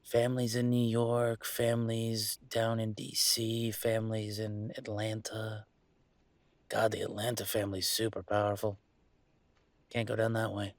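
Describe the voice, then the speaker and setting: A man's voice: on the low side, completely flat delivery, a little slow, no room sound. A man playing a restless young werewolf pack heir in an intimate audio roleplay, rambling conversationally with wry, wistful humor. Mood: troubled, resigned.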